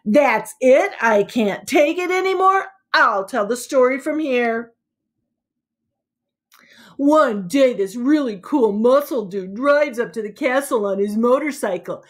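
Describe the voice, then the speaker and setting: A woman's voice: a little high, lively intonation, slow. A woman voicing a cocky boy who tells an action story in a blunt, slangy, sardonic way, as a storybook read-aloud. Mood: exasperated.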